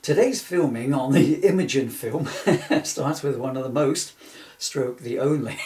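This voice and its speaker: A man's voice: mid-range voice, a little mellow. A man giving an informal comic reading, rambling with asides and prone to giggling at his own material. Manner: rambling, often breaking into giggles at own jokes. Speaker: author reading his parody book. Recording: roomy echo, distant microphone.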